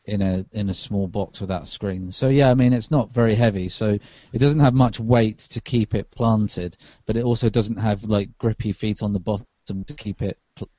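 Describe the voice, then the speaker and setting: Tired guy podcasting from the sofa, low mellow voice, dry close sound. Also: dry recording. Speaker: podcast co-host. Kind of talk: casual tech chat. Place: lying on a sofa indoors.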